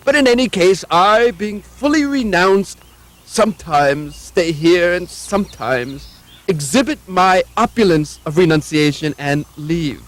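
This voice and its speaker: A man's voice: sits high, a little slow. A man voicing a puppet of a self-important wandering swami, pompous and declamatory, in a theatrical show style.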